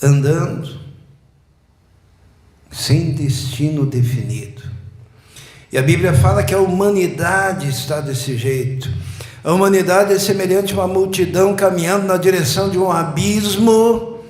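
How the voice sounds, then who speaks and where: Older pastor preaching real slow, kinda high voice, echoey church room. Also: male voice, darkish tone. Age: 60s. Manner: slow, emphatic preaching with rising repeated phrases. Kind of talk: sermon.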